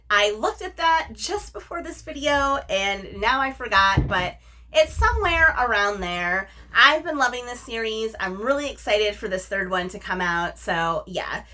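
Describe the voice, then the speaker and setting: Upbeat booktube lady, highish voice that bounces around a lot, chatty n enthusiastic. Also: bright, forceful tone. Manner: enthusiastic, emphatic, repeats phrases. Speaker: book reviewer, video host. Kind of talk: casual chatty book-tag vlog talk to camera.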